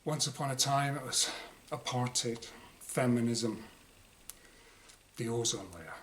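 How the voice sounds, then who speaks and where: Male author-reader, mid-pitched and bright, wry exasperated diary monologue. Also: a little slow. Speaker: author reading own short story. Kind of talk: dramatised reading of a first-person diary story. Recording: clear background noise.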